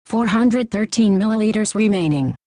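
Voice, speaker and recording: A woman voicing a clinical AI implant that reports data in a flat, factual, clipped manner for an audio story. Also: mid-range voice, moderate pace, no echo, lightly acted. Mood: impassive. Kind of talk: scripted audio story character.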